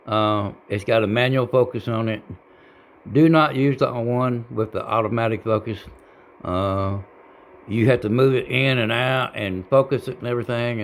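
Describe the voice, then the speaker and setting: A man giving a casual, unscripted how-to demonstration in a halting, folksy, plain-spoken way, as a hobbyist. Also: mid-range voice, a bit bright. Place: indoor home radio room.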